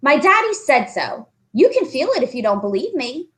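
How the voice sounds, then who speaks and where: A woman voicing an excitable, insistent little schoolgirl in a storybook reading. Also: high-pitched, normal speed.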